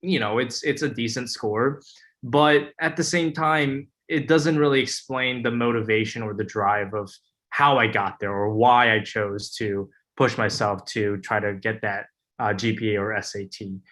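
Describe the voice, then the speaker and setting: A young man sharing his story as a webinar panelist over a video call, earnest and halting with frequent ums and uhs. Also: fairly low pitch, steady tone, a bit bright.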